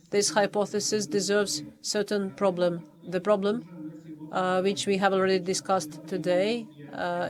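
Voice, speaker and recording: A man reads out his formal review at a doctoral defense. He speaks hesitantly in English, with frequent pauses and false starts. His pitch stays flat and level throughout. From Poland. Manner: halting, many hesitations and restarts. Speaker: official reviewer at a thesis defense.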